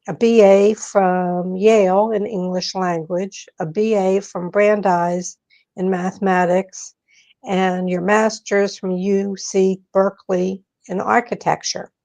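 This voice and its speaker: Woman interviewer, slow, gravelly, chatty, checks her notes. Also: mid-range voice, steady tone, a little mellow. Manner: chatty, leafs through notes. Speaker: host. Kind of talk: interview host.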